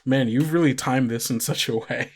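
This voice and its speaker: A man's voice: mid-range voice, normal speed, husky. A man running a tabletop roleplaying game, narrating in a casual, improvised, conversational way. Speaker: game master. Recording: guitar music playing behind the voice. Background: plucked guitar music.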